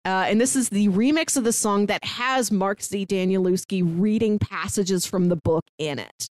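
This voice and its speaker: A woman's voice: mid-range voice, moderate pace, darkish tone, no room sound, clean studio sound. An American woman co-hosting a podcast in a casual recap chat, informal and enthusiastic, sprinkling in "like" often. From St. Louis, United States. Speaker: podcast co-host.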